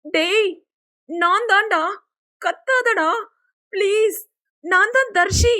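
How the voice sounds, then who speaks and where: A woman's voice: very high voice, very slow, bright, forceful tone, pitched above the performer's own voice, heavily acted. A woman voicing a bold, smitten young woman, pleading and insistent, in an audio novel. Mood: urgent pleading. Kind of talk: Tamil audio novel character acting.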